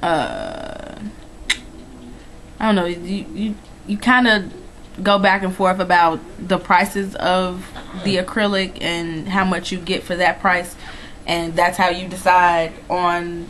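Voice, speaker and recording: Slow, chatty thirty-year-old woman. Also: lowish voice, a bit bright, a little hiss or hum. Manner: chatty, laughs often, many ums. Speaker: nail vlogger. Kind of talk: casual tag video chat. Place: living room at home, indoors. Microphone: webcam in front of her.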